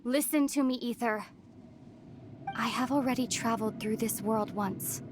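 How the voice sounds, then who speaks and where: A woman playing a solemn, resolute young princess in a video game, soft and breathy, with a measured, grave manner. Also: on the high side, noisy background, close to an everyday voice. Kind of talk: dramatic video game voice acting.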